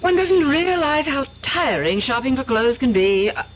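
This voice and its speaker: An actress playing a scatterbrained, gushing wealthy aunt in a radio drama. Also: female voice, high-pitched, sing-song, moderate pace. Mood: flustered. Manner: gushing, fluttery. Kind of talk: 1970s radio drama acting. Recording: lo-fi old radio recording with hiss.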